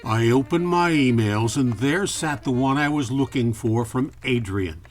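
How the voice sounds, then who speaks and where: A man playing a wry, world-weary private detective, narrating deadpan in a campy noir radio play. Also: medium pitch, a little slow, faint music behind, close to an everyday voice. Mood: wry narration.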